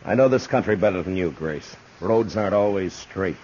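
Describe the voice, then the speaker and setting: A dull, noisy old radio recording carries an actor as a stern western marshal. His voice is low, his words terse and commanding, as steady as the frontier lawman he plays. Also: moderate pace, lightly acted. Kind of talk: 1950s radio western acting.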